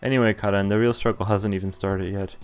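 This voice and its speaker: Low-voiced man reading game text aloud. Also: fairly even intonation, quick pace. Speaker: game streamer. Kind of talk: casual read-aloud of game text with commentary. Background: game music.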